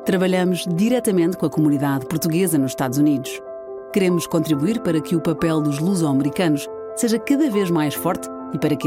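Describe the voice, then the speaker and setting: A woman narrates a polished sponsor spot in a brisk, formal read. Her voice sits fairly low, and loud music plays under every line. It sounds like a studio-made promotional voice-over.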